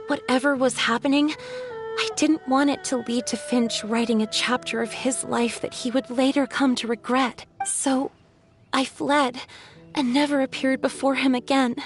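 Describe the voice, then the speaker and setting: A woman playing a gentle, lovelorn water spirit in a video game, speaking in earnest, poetic phrases. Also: high-pitched, fairly even intonation, close to an everyday voice. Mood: wistful reminiscing. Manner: earnest, poetic, measured storytelling. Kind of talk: scripted video game voice acting. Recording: music behind the voice.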